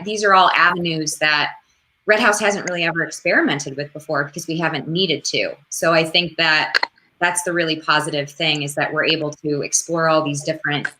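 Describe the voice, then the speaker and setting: Woman in her 30s on a video call, lowish bright voice, thoughtful answers. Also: slight background noise, rough recording. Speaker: actor and theater worker. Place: indoor room with plain dark wall.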